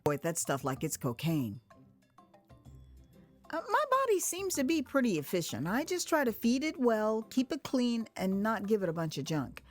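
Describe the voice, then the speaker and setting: An American woman narrating her own memoir as a dramatized first-person story, conversational and expressive, acting out moments as she goes. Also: on the high side, moderate pace. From United States. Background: music.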